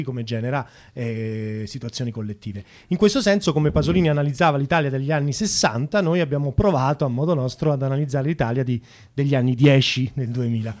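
A man's voice: mid-range voice, a little mellow. Man in a discursive radio discussion. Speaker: theatre collective member as radio guest.

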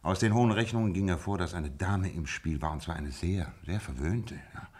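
An actor playing a shrewd, self-assured gentleman detective in a German radio play, explaining deliberately with teasing asides. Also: low voice, slightly rough. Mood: confident, dramatic.

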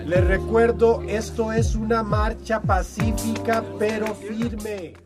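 An actor playing a firm protest march leader addressing followers in a radio drama. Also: male voice, sits high, level tone, takes it slowly, over loud music. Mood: rallying.

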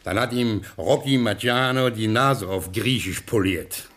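A brash American gangster boss in a radio play. His pitch is on the low side. He is bossy and possessive, talking bluntly with a sardonic edge. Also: a little slow, a bit bright. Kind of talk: German radio play acting.

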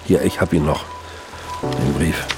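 Low, gravelly, stubborn father. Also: measured pace, over music. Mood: stubborn, regretful. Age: middle-aged. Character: confused, stubborn father of a grown child, guilt-ridden. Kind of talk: naturalistic radio drama acting.

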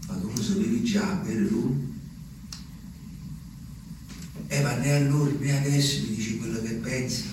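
An actor playing a rustic, agitated peasant in a halting, repetitive stage monologue. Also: male voice, medium pitch, natural voice. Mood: hushed, resigned. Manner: halting, repetitive, volatile between murmurs and shouts. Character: rustic, agitated Abruzzese peasant man recounting his journey. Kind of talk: theatrical dramatic monologue. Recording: very echoey distant stage recording, faint noise.

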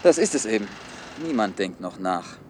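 An actor playing a cynical, hard-headed man in a German science-fiction radio play, blunt and deliberate as he explains. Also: fairly low pitch, big pitch swings, a little hiss or hum. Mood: grim. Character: cynical, hard-headed male official defending his orderly world.

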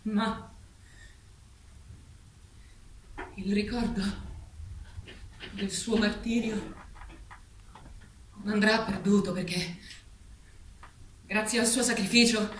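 A woman's voice: medium pitch, a bit bright. An actress playing a female farm animal who leads a revolution, declaiming solemnly like a manipulative preacher in a stage play. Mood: solemn, preaching. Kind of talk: theatrical stage monologue, political oratory. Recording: echoey stage, distant mic, faint noise.

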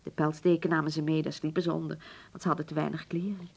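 An actress playing a nostalgic, genteel middle-aged hotel proprietress in a Dutch radio drama, speaking formally and with stately dramatic pauses. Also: female voice, deep voice, flat delivery, vocal fry, a little mellow, husky, heavily acted. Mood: grave, dramatic.

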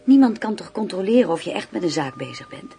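A flirtatious, inquisitive lover in an intimate radio play. She coaxes with feigned naivety in a mid-pitched, creaky voice, with faint background noise. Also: big pitch swings, fast talker, warm tone. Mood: teasing, probing.

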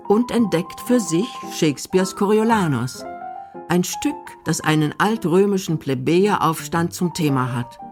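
A woman reading scripted narration for a radio documentary drama. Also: lowish voice.

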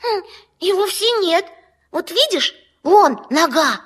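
Little childlike storybook creature: extremely high voice, sweet, polite, cheerful. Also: female voice, animated, takes it slowly, fairly bright tone, full character voice. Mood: eager, insistent. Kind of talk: children's audio play acting.

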